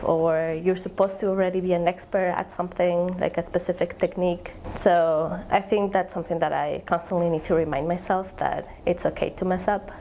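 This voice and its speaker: A woman's voice: fairly low pitch, dark tone, slight background noise. A young woman, a graduate student explaining her research in an interview, thoughtful and searching for words. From Ecuador. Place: eye tracking lab.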